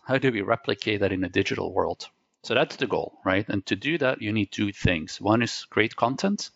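A man's voice: on the low side, creaky, a bit bright, no echo, muffled recording. A man from Belgium whose first language is Dutch, explaining ideas step by step and often checking in with a rhetorical "right?". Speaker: tech company guest. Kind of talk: conversational explaining.

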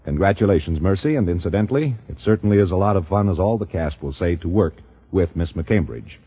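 A man's voice: low voice, lively intonation, brisk, slightly rough, lo-fi sound. A man announcing in scripted 1950s radio style, with formal, emphatic delivery on a vintage broadcast.

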